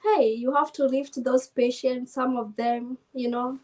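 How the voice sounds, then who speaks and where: Young Kenyan woman, soft voice, relaxed interview guest, light and a bit high. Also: measured pace. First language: Swahili. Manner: soft-spoken, mixes Swahili and English, laughs often. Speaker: interview guest, navy sailor. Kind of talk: casual interview chat.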